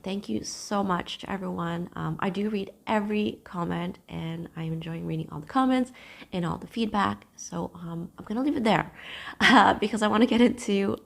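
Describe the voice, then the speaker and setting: A young woman hosting a knitting podcast from home. She is hoarse from a cold and talks gently to camera, with thoughtful pauses. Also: mid-range voice. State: hoarse, losing her voice from a cold. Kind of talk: casual chat to camera. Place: at home, seated.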